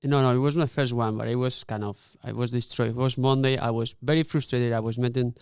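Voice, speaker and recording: A man giving casual interview answers, animated and halting with frequent restarts. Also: medium pitch, smooth, dry recording, clean studio sound. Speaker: guest, insurance executive.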